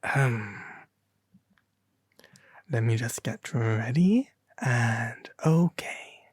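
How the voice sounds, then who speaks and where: A man voicing an aristocratic male demon prince, pompous and refined, with soft, sometimes breathy, drawn-out delivery in an ASMR roleplay reading. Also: mid-range voice, animated, no echo, studio quality, full character voice. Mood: grand, gracious.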